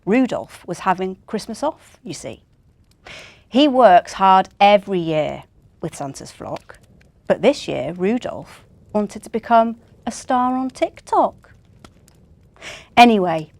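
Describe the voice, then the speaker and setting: A woman's voice: medium pitch, a little mellow, dry recording. A woman reading a children's story expressively.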